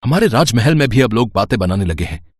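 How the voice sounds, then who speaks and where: A man's voice: medium pitch, big pitch swings, fairly quick, slightly rough, no room sound. A man playing a cruel, scheming prince in a devotional story, imperious and formal in his speech. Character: stern, scheming villainous prince, commanding and cruel.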